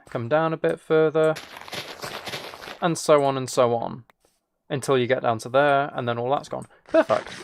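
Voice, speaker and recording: A man's voice: medium pitch, gravelly, a little hiss or hum. A man chatting casually while he plays a game, thinking aloud in a rambling, playfully self-mocking way. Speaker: streamer.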